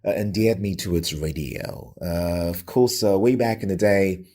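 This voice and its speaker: A middle-aged Nigerian man with a Nigerian accent, telling his own story reflectively in an interview with a radio presenter's deliberate, emphatic delivery. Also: deep voice, warm tone. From Lagos, Nigeria.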